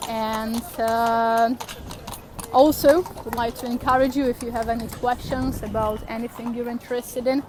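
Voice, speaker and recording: A woman's voice: on the high side, steady tone, moderate pace. Woman guiding a walking tour. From Slovakia. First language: Slovak. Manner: friendly, hesitant with many fillers and restarts. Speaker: tour guide. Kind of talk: live virtual walking tour, speaking to camera. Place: outdoors on a city square with street noise. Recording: faint street noise outdoors.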